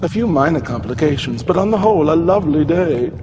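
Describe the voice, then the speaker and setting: An actor playing a scheming, smug aristocratic villain with macabre tastes in a game cutscene. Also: male voice, fairly high pitch, expressive, fast talker, noisy background, natural voice. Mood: smug, sly. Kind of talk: video game cutscene acting.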